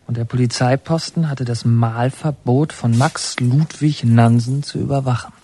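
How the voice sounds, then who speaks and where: An actor playing a brooding young inmate in an introspective radio monologue, musing in halting fragments, breathy and often half-whispered. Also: male voice, mid-range voice, steady tone, mellow, a little hiss or hum, close to an everyday voice. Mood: brooding, remembering. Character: brooding young male inmate remembering his father.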